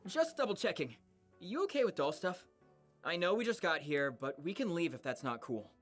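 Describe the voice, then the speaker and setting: An actor playing a dorky, considerate teenage boy villain in a visual novel, awkward and halting. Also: on the high side, sing-song, faint music behind. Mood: friendly, concerned. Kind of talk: visual novel character acting.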